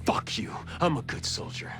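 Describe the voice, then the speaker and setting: An actor playing a panicky, defensive young soldier who blames everyone else in a game cutscene. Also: male voice, normal speed, music in the background, natural voice. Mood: desperate. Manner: defensive, whining, breaks into shouts. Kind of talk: video game cutscene acting.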